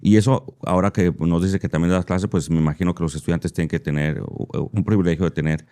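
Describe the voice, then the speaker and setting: A man hosting an interview who asks long, halting questions full of restarts. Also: low voice, fairly even intonation, mellow, clean tone. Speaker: interview host. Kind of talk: conversational interview.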